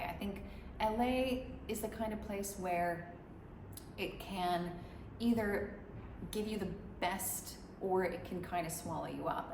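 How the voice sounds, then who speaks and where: A woman in a casual chat, giving long rambling answers with many restarts. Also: fairly low pitch. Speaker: musician interviewee. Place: room in Dubai. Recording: echoey room with background noise.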